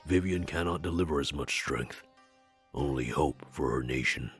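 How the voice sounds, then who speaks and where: A man voicing a stern, aloof non-human war advisor in a visual novel game, speaking formally and with measured gravity. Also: very deep voice, a bit bright, husky, faint music behind, full character voice. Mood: stern, dismissive. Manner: formal, measured, solemn speech without contractions.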